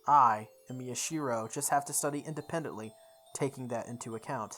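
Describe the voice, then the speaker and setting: A let's play host reading a visual novel's story aloud in a deliberate way, with occasional stumbles. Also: mid-range voice, a bit bright. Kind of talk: read-aloud narration of a game's story. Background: background music.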